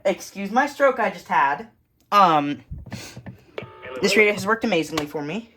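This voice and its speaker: A woman's voice: mid-range voice, lively intonation, normal speed, a bit bright, slightly rough, faint music behind, rough recording. A woman giving a casual, matter-of-fact show-and-tell of a hobby collection in short, clipped phrases, recorded indoors at home.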